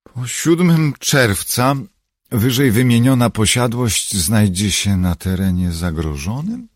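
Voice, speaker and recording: A man voicing a grave woman in an audiobook who reads an official letter aloud in a formal, deliberate, emphatic way. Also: on the low side, lively intonation, no echo, clean studio sound, pitched above the performer's own voice.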